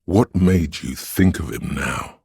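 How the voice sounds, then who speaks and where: Male narrator as a curious plain-spoken cop, very low pitch, gravelly, inquisitive, game dialogue. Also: expressive, slow, darkish tone, no echo, studio quality, exaggerated voice.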